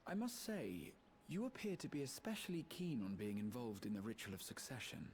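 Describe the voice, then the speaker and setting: A man's voice: mid-range voice, expressive, fairly quick, darkish tone, a little hiss or hum, lightly acted. A man voicing an urbane, inquisitive adventurer in a video game, with a formal and probing way of speaking. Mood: curious. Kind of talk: video game cutscene acting.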